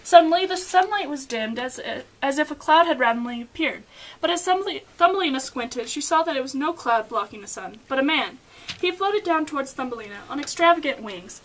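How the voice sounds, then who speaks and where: Young woman telling a fairy tale, high voice, trips on words a bit reading it. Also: lively intonation, a little fast, a bit bright, a little hiss or hum, rough recording. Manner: stumbles over words while reading. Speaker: storyteller. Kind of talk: scripted fairy tale narration.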